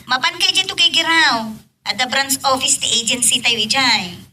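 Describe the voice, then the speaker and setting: High, piercingly bright breezy friend, a woman acting. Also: expressive, moderate pace, full character voice. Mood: reassuring. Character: helpful, breezy female friend offering overseas work. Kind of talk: amateur radio-style drama acting. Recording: distant mic with faint noise.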